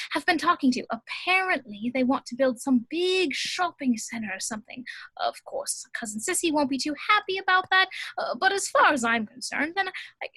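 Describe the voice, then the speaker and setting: Brief: female reader as a rambling, confiding florist, high voice, dry close sound. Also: a bit bright, no echo, poor audio. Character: chatty, gossipy older village florist woman. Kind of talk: table read over video call.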